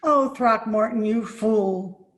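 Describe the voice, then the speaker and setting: A woman playing a genteel former fiancée in 1940s radio comedy style, coy and gently chiding. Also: a little high, slow pace. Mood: startled, chiding.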